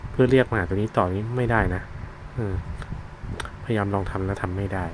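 A man with a fairly low voice talks through a product demonstration in an informal, unscripted way. He explains step by step, often pausing to find the words. There is clear noise behind him, with vehicle sounds. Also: steady tone. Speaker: product reviewer demonstrating a gadget.

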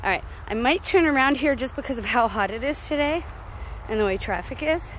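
American female vlogger, higher register, casual rambling monologue, handheld phone outdoors with background noise. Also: animated, brisk, low-quality recording. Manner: chatty, digressive, confiding. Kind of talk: casual walk-and-talk monologue. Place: outdoors walking along a rural road. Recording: clear outdoor roadside noise.

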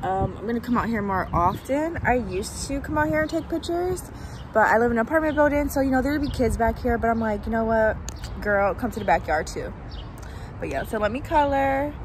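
A woman's voice: fairly high pitch, lively intonation, brisk, noisy background. A woman in her twenties chatting casually to her phone camera as a lifestyle vlogger, rambling and correcting herself as she goes. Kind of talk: casual vlog chat to camera. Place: bedroom indoors, later backyard outdoors.

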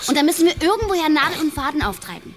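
An actress playing a composed, resolute former surgical nurse who gives firm, methodical instructions in an audio crime drama. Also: female voice, high voice, very animated, rapid-fire. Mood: firm, instructing.

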